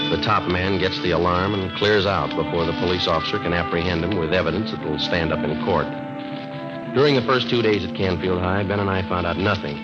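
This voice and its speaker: Low-voiced, stoic American police detective, acted by a man. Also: close to an everyday voice. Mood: dry narration. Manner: flat, matter-of-fact, deliberate. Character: calm, stoic, no-nonsense male police detective. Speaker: police sergeant and narrator. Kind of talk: 1950s radio drama acting, clipped narration. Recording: dull old radio recording, roomy, music behind.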